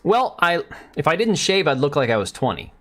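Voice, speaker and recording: An American man in his thirties hosting a live stream, ranting in a loose, sarcastic, rambling way with a hoarse voice. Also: fairly high pitch, big pitch swings, a little fast, fairly bright tone. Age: 30s. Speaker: livestream host. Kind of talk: casual live commentary rant.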